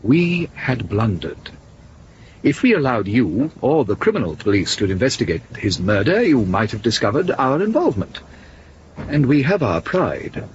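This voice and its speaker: Man playing a smug, sardonic spymaster, very animated pitch. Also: moderate pace, dull audio, a little hiss or hum, lightly acted. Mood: amused, unrepentant. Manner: urbane, drily condescending. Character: smug, sardonic, evasive secret service officer. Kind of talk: radio drama acting.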